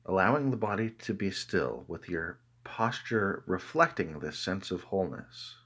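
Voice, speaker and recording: A man narrating a guided meditation in a calm, measured way, with deliberate pauses between phrases. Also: deep voice.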